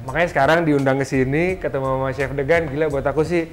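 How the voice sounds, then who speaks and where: A man's voice: medium pitch, steady tone, faint music behind. A young adult man, a guest on a cooking show, chatting enthusiastically and mixing in English words. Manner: enthusiastic, drops English words, quick reactions. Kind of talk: casual chat.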